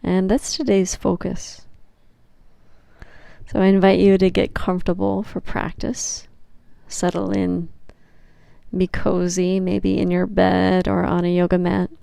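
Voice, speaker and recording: A woman leading a guided meditation as a yoga nidra teacher, speaking gently and soothingly with long, unhurried pauses. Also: fairly low pitch, little pitch movement, gravelly, clean tone, no echo.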